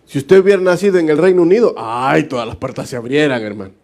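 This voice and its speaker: A man's voice: fairly high pitch, fast talker, a little mellow. A man from El Salvador with a Salvadoran accent, giving an informal, conversational sermon as a pastor in a colloquial, joking manner. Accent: Salvadoran Spanish. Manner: colloquial and joking, often chuckles and acts out short dialogues. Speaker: pastor giving a Bible study.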